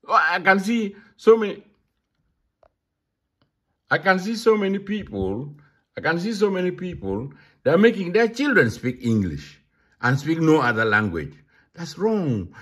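Ugandan guy ranting casually, husky voice, swings up and down a lot. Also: a little high, sing-song, a little slow, pauses often, fairly bright tone. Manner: repetitive, emphatic. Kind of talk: casual monologue. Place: London.

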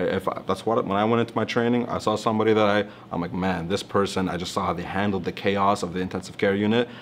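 Man, 30s, from Toronto; low, reflective, earnest; seated studio testimonial with slight background noise. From Toronto, Canada. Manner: earnest, reflective, gestures while talking. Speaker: alumnus physician. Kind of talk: interview testimonial. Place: studio with branded backdrop, indoors.

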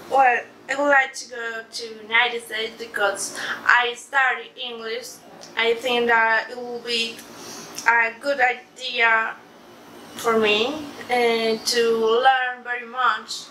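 A young woman from Colombia with a Colombian Spanish accent, a native Spanish speaker, answering interview questions in halting English with soft laughs, recorded by a camera across a living room. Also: fairly high pitch, steady tone. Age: young adult. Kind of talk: casual interview in a second language. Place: living room, sitting on a couch. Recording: echoey room, distant mic, background noise.

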